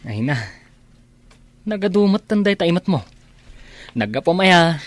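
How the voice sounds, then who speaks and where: A man's voice: high voice, very animated, unhurried, dry recording. A Filipino man with an Ilocano accent playing a caring, frank friend in an audio drama, speaking colloquially and expressively. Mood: concerned, bashful. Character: caring, frank male friend, secretly tender.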